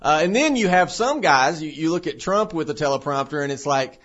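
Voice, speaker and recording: A man co-hosting a church podcast in casual chat, telling lively stories and acting out quotes. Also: on the high side, brisk. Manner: animated storyteller, acts out quotes. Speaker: podcast co-host. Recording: dull lo-fi podcast audio.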